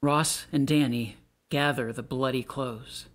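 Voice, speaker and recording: A man's voice: medium pitch, fairly even intonation, measured pace, fairly bright tone. A man reading stage directions as scripted narration for a play.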